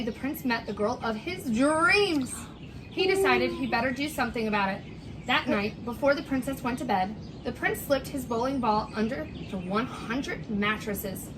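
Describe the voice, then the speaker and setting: A woman with a fairly high voice reads a children's tale aloud as a teacher. Her delivery is emphatic and swings widely in pitch, over faint background noise and birdsong. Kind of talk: animated children's story read-aloud with banter.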